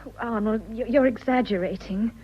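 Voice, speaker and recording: An actress playing a sympathetic, composed young wife in a British radio drama, polite and direct in manner. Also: female voice, a little high, normal speed, slightly rough, dull audio. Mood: composed, frank.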